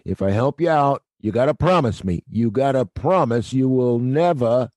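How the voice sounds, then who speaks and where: An actor playing a middle-aged male theater night watchman who is gruff but kind, with a wry, world-weary, fatherly way of talking. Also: mid-range voice, animated, normal speed, darkish tone, dry recording, studio quality, lightly acted. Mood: confiding. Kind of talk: audio play acting.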